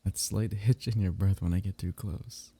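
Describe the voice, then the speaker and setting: A young man speaking softly and intimately in an ASMR roleplay, teasing and sometimes dropping into a whisper. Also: low voice, fairly even intonation, moderate pace, creaky, husky.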